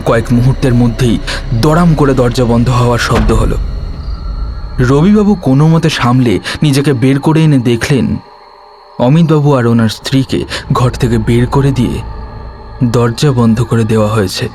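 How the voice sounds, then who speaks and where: A man's voice: medium pitch, normal speed, long pauses, a little mellow, faint music behind. A man narrating a scripted horror story in a dramatic, suspenseful way.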